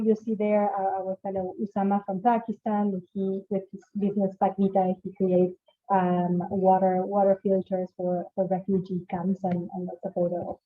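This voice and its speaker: A woman's voice: medium pitch, little pitch movement, moderate pace. A woman from Argentina with an Argentine accent, presenting at an online conference as an organization director, with many fillers and restarts. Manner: many fillers and repeated starts. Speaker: organization director, panel speaker. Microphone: video call. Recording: tinny phone-like call audio.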